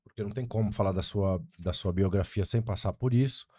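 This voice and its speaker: A man from Brazil, a podcast host asking his guest measured, formal questions. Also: fairly low pitch, dark tone, husky, no echo, studio quality. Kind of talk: interview questions.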